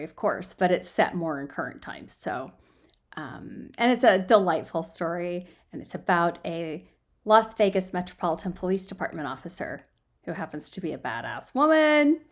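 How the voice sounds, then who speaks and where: A woman reading and chatting as an amateur storyteller, informal and self-deprecating, recorded in a guest room on a laptop microphone. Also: fairly low pitch. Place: quiet guest room at home. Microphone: built-in laptop microphone.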